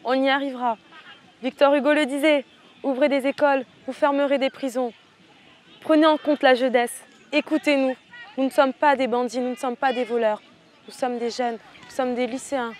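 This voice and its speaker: A woman's voice: high-pitched, fairly even intonation, normal speed, a little hiss or hum. A teenage woman from French Guiana, a student activist leader, giving impassioned, rhetorical interview answers outdoors. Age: teens. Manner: impassioned, rhetorical, repeats phrases.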